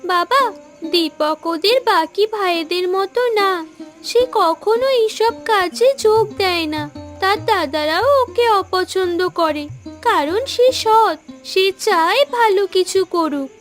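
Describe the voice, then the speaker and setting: A woman voicing a gentle, loyal young village woman in a cartoon, earnest and reassuring. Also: very high voice, slow pace, faint music behind, exaggerated voice. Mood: pleading.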